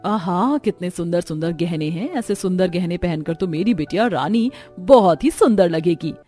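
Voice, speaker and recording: A woman's voice: on the low side, animated, moderate pace, warm tone, soft background music, lightly acted. A woman voicing a boastful, haughty mother in a cartoon story, showy and imperious. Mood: gushing, scornful. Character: boastful, haughty mother, scornful of her stepdaughter.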